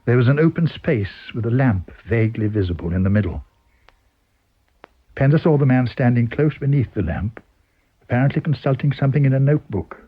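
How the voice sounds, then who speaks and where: A man's voice: mid-range voice, lively intonation, brisk, husky, narrow, tinny audio. A man with a British accent reading a story aloud as dramatic scripted narration. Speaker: story reader.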